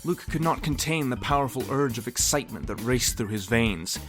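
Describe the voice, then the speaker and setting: A man's voice: mid-range voice, animated, fairly quick. A man narrating comedic fan fiction in a mock-serious, theatrical way with wry asides. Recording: music behind the voice. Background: music.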